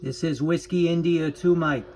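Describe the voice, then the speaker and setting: A man's voice: a little high, fairly even intonation, normal speed, heavy background noise. A man who makes amateur radio videos, speaking casually as he narrates and operates.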